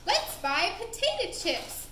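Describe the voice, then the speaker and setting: Woman as a squealy-high carefree little pig. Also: sing-song, slow pace, sharp tone, exaggerated voice. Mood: cheerful. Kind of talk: children's puppet show. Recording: roomy echo with faint background noise.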